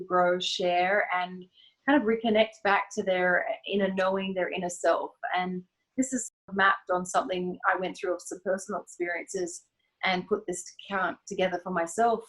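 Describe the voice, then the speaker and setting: A woman answering interview questions as a business founder, measured and reflective, sometimes restarting a phrase. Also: mid-range voice, low-quality recording. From Australia. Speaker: guest, event company founder.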